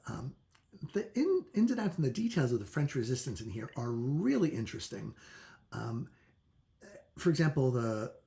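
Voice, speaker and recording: A man reviewing a book in a casual, unscripted way, halting and often correcting himself as he talks conversationally. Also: mid-range voice, creaky, warm tone.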